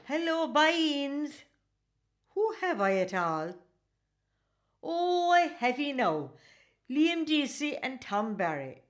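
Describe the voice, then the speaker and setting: An actress as a kindly Irish countrywoman in a radio drama. Her voice sits high. She talks in a homely, effusive way, full of blessings and country turns of phrase. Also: sing-song, slow, pauses often, full character voice. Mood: welcoming. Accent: rural Irish. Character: kindly, devout Irish country housewife, hospitable and talkative. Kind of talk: radio play acting.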